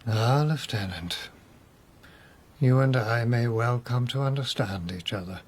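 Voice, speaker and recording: A man's voice: medium pitch, measured pace, slight background noise, lightly acted. An actor playing a deluded, drink-loving nobleman, musing, wistful and evasive in a radio drama. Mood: dreamy, defiant.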